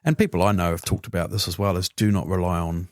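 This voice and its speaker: A man in his fifties with a New Zealand accent, a guest explaining finances in relaxed, chatty talk. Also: deep voice, fast. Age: 50s. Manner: relaxed, chatty, frequent filler agreements. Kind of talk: casual interview chat.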